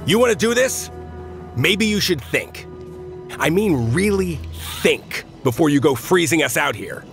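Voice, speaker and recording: An actor playing a gruff, defiant mercenary squad leader in a video game, with a sardonic, blunt way of talking. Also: male voice, fairly high pitch, very animated, a little slow, fairly bright tone, heavily acted. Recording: game music behind the voice.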